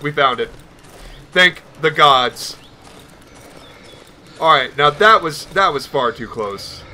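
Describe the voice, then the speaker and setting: A man with a bright, slightly high voice commentates a video game in a casual way. He rambles nervously, often talking to himself. Some noise and game ambience sit behind him. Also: expressive, slow. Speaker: gaming video host. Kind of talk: casual let's play commentary.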